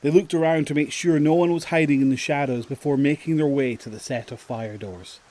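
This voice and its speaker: A man's voice: fairly high pitch, fairly quick, warm tone. A man narrating a scripted audio drama story.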